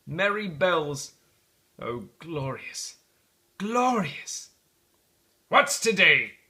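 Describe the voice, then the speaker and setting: A man narrates a storybook in a theatrical read-aloud style. His voice sits a little high for a man and moves in lively swings, with a bright, forceful tone. The phrasing is deliberate and dramatic. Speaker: storybook reader.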